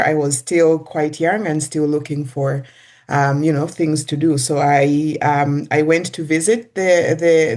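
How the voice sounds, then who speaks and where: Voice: medium pitch, a little mellow. Hesitant middle-aged guest. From Zambia. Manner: hesitant, many pauses and restarts. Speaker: executive director, guest. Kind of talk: casual interview answers.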